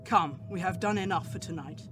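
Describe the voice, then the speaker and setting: A man's voice: sits high, music in the background, natural voice. A man playing a resolute, commanding professor who hunts vampires, in a radio drama. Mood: urgent resolve. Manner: deliberate, commanding. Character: resolute male professor and vampire hunter, authoritative.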